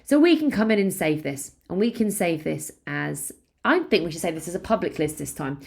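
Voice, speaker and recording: A woman in her thirties presenting a conversational software tutorial, explaining things clearly step by step. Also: sits low. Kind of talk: instructional tutorial narration, conversational.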